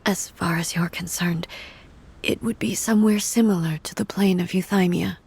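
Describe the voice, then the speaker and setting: An actress playing a solemn, regal goddess mourning her sister in a video game, speaking in a soft, hushed, measured way. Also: fairly low pitch, fairly even intonation, vocal fry, breathy edge, exaggerated voice. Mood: sorrowful.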